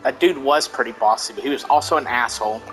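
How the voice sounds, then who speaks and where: A man plays a game and comments casually as he goes. His voice is average in pitch and bluntly informal, with soft music running behind it. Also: fast, low-quality recording. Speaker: let's-play gamer.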